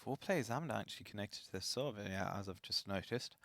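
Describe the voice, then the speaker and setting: Man, esports caster, lowish voice, slightly raspy, chatty, dry recording. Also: brisk, a bit bright, no room sound. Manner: chatty, self-deprecating, apologetic asides. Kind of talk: live esports commentary.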